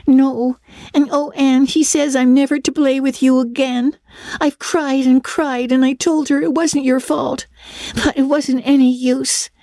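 Storybook best friend: childlike small girl, very high voice, plainspoken and sincere. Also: measured pace, darkish tone, no echo. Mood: mournful. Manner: childlike, earnest, simple. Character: Diana, devoted, plainspoken little girl, Anne's bosom friend. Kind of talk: dramatic audiobook reading.

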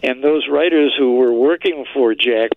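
An older American man reminiscing conversationally as a radio guest, unhurried and emphatic. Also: mid-range voice, creaky, no echo. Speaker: radio guest, old-time radio historian. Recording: phone-like narrow radio line.

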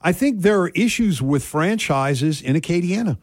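A man's voice: high voice, lively intonation, moderate pace, no echo. A man from southern Louisiana co-hosting a casual radio show in a strong voice, rambling and folksy as he gives his opinions on a close studio mic. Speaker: radio talk show co-host. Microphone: close studio microphone.

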